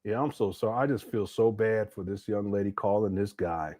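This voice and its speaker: A man's voice: fairly low pitch, a little fast, warm tone. A man giving casual reaction commentary on a clip, exasperated and laughing, with slangy asides.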